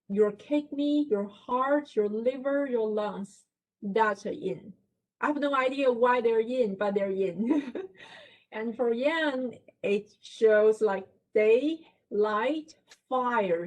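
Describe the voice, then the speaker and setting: A woman's voice: fairly high pitch, darkish tone, poor audio. A woman from China with a Chinese accent, giving a talk at a library book event; she explains concepts step by step, checks in with her listeners and laughs lightly. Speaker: guest lecturer.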